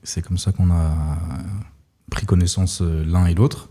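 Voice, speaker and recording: A man's voice: low-pitched, level tone, unhurried, mellow. A man hosting an interview podcast, asking friendly, somewhat hesitant questions. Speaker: podcast host.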